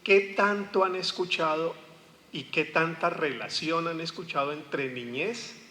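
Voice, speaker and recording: A man's voice: a little high, measured pace, bright, forceful tone. A man from Colombia with a Colombian Spanish accent, giving a public lecture as a pastor and theologian, often asking the audience questions. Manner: engaging, asks the audience questions. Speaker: guest speaker, pastor and theologian. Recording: long hall reverb.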